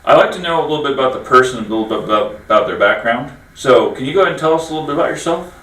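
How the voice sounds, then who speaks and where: A man's voice: medium pitch, expressive, rapid-fire, pushed and bright. A man hosting a local business interview show, friendly and rambling with many fillers, recorded from a distance in a roomy space. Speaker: interview host.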